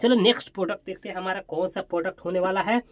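A man's voice: sits high, rapid-fire, dry recording. Male product-showcase host, chatty, exclamatory and repetitive, casual demo style, close dry sound with lo-fi dullness. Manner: exclamatory, chatty, repeats phrases while demonstrating. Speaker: toy and gadget review host.

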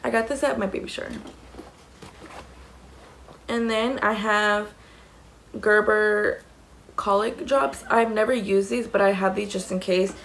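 A young woman talks into a close camera in a small room, her voice raspy with gravelly fry. She rambles through a casual show-and-tell full of "um" and "like." A faint hiss sits under the recording. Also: medium pitch, steady tone, a little slow, pauses often, a bit bright, low-quality recording. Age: young adult. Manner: chatty, rambling, many "um" and "like". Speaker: mom vlogger. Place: small indoor room against a plain wall.

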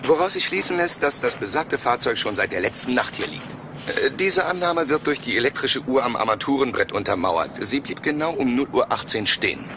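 An actor playing an eager, overly correct police sergeant, formal and deferential, in a German crime audio drama. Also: male voice, medium pitch, lively intonation, a little fast, noisy background, close to an everyday voice. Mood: officious. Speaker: detective sergeant.

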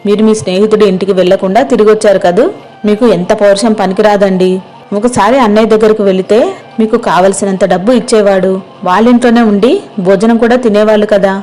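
A woman narrator playing a naive village wife in a moral story, fussing and gently reproachful. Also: medium pitch, fairly even intonation, fast talker, faint music behind, close to an everyday voice. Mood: concerned, chiding.

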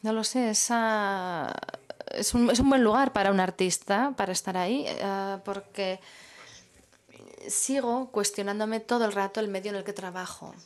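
Woman interpreting a live talk in measured phrases. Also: medium pitch. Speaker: simultaneous interpreter.

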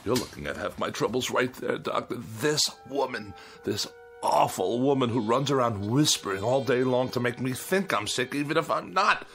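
A man's voice: medium pitch, lively intonation, moderate pace, a bit bright. A man acting in a radio drama as a querulous, self-pitying hypochondriac patient, demanding, indignant and melodramatic. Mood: bitter, ranting. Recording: music behind the voice.

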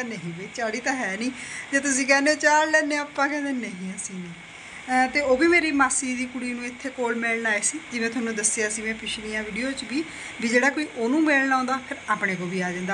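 A woman's voice: high-pitched, background noise. A woman in her forties with a Punjabi accent, chatting casually to a phone camera at home. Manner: chatty, with frequent tag questions. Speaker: vlogger. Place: home, indoors. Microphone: phone held at arm's length.

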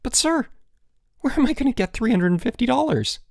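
A man's voice: medium pitch, lively intonation, brisk, creaky, a little mellow, no room sound, studio quality. A man voicing an anxious schoolboy who protests defensively in an audiobook story. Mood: shocked protest. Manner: defensive, indignant. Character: anxious, protesting schoolboy pleading with his headmaster.

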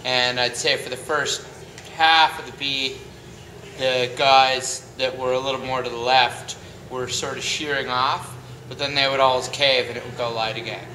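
A man's voice: medium pitch, level tone, normal speed, sharp tone. A middle-aged man casually recounting a race, step by step. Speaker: sailing competitor. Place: large covered open-sided patio. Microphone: camera a few feet away. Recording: echoey pavilion, clear background noise.

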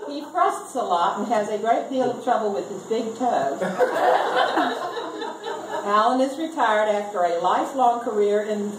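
A woman's voice: on the high side, recorded from a distance. An older woman reading a comic text aloud to a family gathering in an amused manner. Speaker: reader at a family reunion. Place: open garage full of seated relatives. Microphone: handheld camera a few meters away. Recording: roomy, noisy garage from off camera mic.

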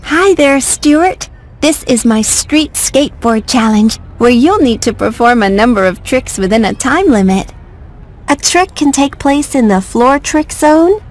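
A woman voicing a friendly, encouraging young female bird who explains challenges in a video game. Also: sits high. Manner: clear, encouraging, instructive. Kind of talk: video game cutscene voice acting.

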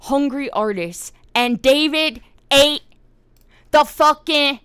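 A woman's voice: sits high, slow pace, a bit bright, no echo. A woman hosting a casual live-streamed discussion, swearing often and laughing as she tells the story. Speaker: podcast host.

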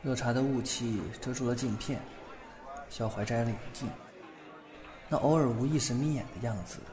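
A man's voice: mid-range voice, moderate pace, crowd noise, close to an everyday voice. A young man acting an earnest, smitten and flustered young roommate in an audio drama.

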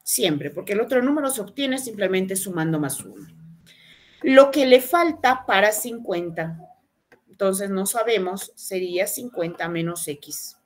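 A patient woman walks students through math problems over a video call. She repeats key phrases slowly and leans on rhetorical questions to check understanding. Her voice sits at an ordinary middle pitch. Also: big pitch swings. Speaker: math teacher. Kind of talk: online class explanation. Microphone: webcam on video call.

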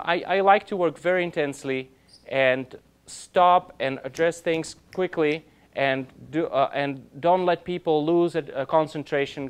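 A man in his thirties, an orchestra conductor, giving an informal lecture in a classroom, halting and restarting often but growing animated, recorded by a camera across the room. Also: fairly high pitch, clear, resonant tone. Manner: halting, many restarts and fillers, grows animated. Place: classroom with projector.

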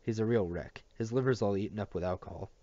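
A man's voice: fairly low pitch, quick pace, warm tone, no echo, muffled recording, natural voice. A man voicing a smug teenage boy who talks in a scornful, casually chatty way.

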